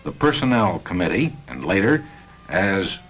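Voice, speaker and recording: An American man reading scripted narration for a 1970 company training film, with measured, deliberate phrasing and pauses. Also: sits low, very animated, faint music behind. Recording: dull 1970 film soundtrack.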